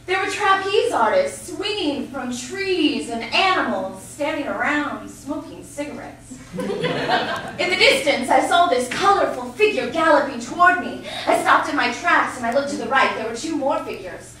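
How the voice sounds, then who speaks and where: Bright-voiced woman telling a comic tale. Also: sits high, normal speed. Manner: animated, playful, laughing. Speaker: contest speaker. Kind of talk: comic storytelling speech. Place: large hotel ballroom. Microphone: handheld mic, far camera. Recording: echoey hall from far off.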